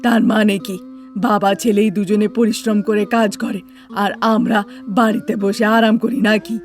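A woman's voice: mid-range voice, little pitch movement, normal speed, soft background music, natural voice. A woman playing a bossy, nagging elderly mother-in-law and grandmother in a cartoon, with a scolding, insistent manner. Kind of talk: Bengali cartoon dialogue.

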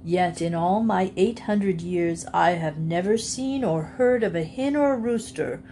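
An ancient storybook alligator, played by a woman, drawls grandly and very slowly. He is pompous and polite with a sly menace, over faint background noise. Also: fairly low pitch, dark tone. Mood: smug, menacing.

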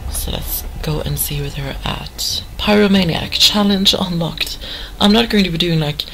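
A hoarse woman giving chatty, rambling commentary over a game she plays. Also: on the low side, normal speed, a bit bright, soft background music, rough recording. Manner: chatty, rambling, full of fillers. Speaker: gamer. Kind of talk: let's play commentary.

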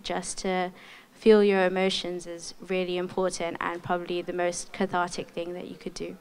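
Flat, low-voiced young woman. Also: normal speed, rough recording. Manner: thoughtful, reassuring. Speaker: panelist. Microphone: handheld mic.

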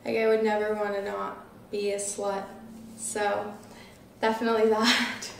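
A woman's voice: mid-range voice, flat delivery, a little slow, vocal fry. A nineteen-year-old woman chatting casually as an interview guest, peppering her talk with filler words. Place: small living room, seated on a couch. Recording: roomy, distant mic, faint noise.

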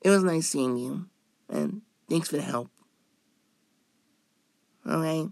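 Slow-paced man voicing a cute young femboy, shy and playful, creaky edges, ASMR roleplay. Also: a little high, a little mellow, no echo. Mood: soft, pleased. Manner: hesitant, coy, with drawn-out words.

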